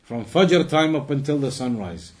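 A man's voice: medium pitch, sing-song, moderate pace, darkish tone. A man giving a religious lesson as a teacher, reading and explaining, repeating and rephrasing his phrases for emphasis.

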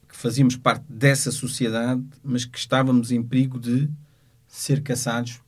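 A man with a European Portuguese accent speaking unscripted as a lawyer, in a measured, halting way as he searches for words. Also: medium pitch, darkish tone. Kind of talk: unscripted spoken account of a court case.

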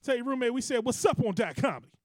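A man's voice: extremely high pitch, expressive, racing. A man co-hosting a studio comedy podcast, teasing and laughing often in casual banter. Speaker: podcast co-host.

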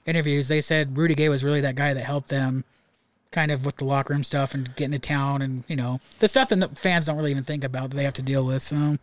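A man's voice: mid-range voice, fairly even intonation, fast. A man guesting on a podcast, earnestly explaining his views in casual sports talk.

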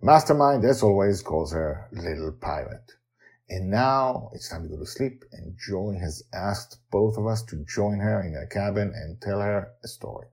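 A man's voice: lowish voice, animated, moderate pace, a little mellow. A man hosting a podcast and narrating stories in a dramatic, emphatic way. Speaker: podcast host and storyteller. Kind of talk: expressive storytelling and podcast narration.

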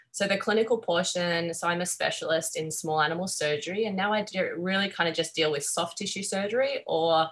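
A woman's voice: deep voice, a bit bright. A woman with an Australian accent talking in a chatty, enthusiastic way as a guest in a podcast interview. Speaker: guest, veterinary surgeon and professor.

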